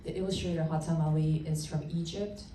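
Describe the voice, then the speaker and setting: A woman author born in Turkey, answering audience questions on a panel in a halting, hesitant way. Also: low voice, steady tone, warm tone. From born in Turkey, Uzbek. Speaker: panelist, children's book author. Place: panel session in a room. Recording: roomy, distant mic, faint noise.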